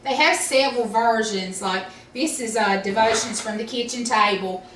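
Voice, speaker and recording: Bright-voiced woman chatting casually. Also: fairly high pitch, moderate pace. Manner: chatty, rambling with fillers. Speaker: devotion speaker. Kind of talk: casual devotional talk. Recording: roomy, distant mic, faint hiss.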